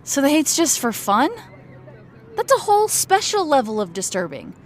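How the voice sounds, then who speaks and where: Woman playing a high-voiced sarcastic teenage girl. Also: wildly swooping pitch, normal speed, a faint crowd, slight background noise. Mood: suspicious. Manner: sarcastic, incredulous, sharp-tongued. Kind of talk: audio drama dialogue. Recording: faint outdoor street ambience behind.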